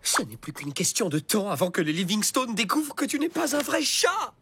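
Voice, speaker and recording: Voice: medium pitch, sing-song, moderate pace. An actor voicing a scheming, bossy cartoon fox, with an urgent, wheedling and dramatic manner. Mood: pleading. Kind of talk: cartoon dub acting. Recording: loud cartoon music behind the voice.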